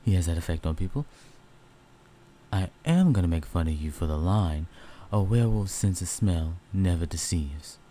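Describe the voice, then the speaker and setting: A man's voice: low voice, expressive, moderate pace, warm tone, a little hiss or hum. A man voicing a teasing, competitive male werewolf in an audio roleplay, talking casually and bluntly to a partner.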